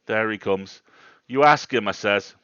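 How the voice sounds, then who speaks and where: An insistent old country churchwarden from a storybook, acted out by a man, bursting with pride. His rustic speech is emphatic and colloquial, and he gets worked up easily. The voice is creaky and pushed louder, heard through slightly dull audio. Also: deep voice, moderate pace. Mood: insistent, agitated. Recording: roomy, dull livestream audio.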